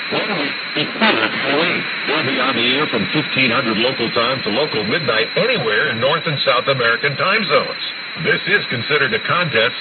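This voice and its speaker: Animated man on crackly shortwave radio. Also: medium pitch. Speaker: amateur radio news correspondent. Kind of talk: scripted light feature news report. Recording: noisy narrow-band shortwave radio reception. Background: shortwave static.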